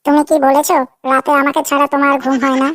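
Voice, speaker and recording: A woman's voice: sits high, level tone, quick pace, slight background noise, close to an everyday voice. A woman voicing a coy, demanding young girlfriend in a Bengali comedy cartoon, whiny and pleading, often repeating herself.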